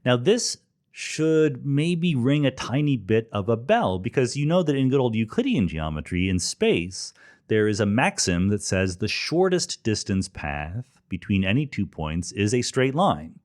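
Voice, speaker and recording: A man's voice: medium pitch, big pitch swings, unhurried, a little mellow. A man hosting a solo talk, explaining in a didactic but conversational way with emphatic stresses. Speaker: podcast host and physics explainer. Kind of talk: solo explanatory science talk, informal lecture.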